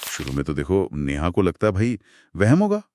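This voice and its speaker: A man with a deep voice that rises and falls a great deal leads this podcast chat, retelling a horror story. He builds suspense with slow beats and hushed pauses. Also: huge pitch swings, a little hiss or hum. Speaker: podcast co-host.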